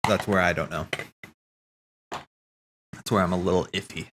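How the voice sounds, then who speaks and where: A man streaming casual, rambling theory commentary, thinking aloud haltingly, correcting himself and swearing casually. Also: low voice, steady tone, noisy background.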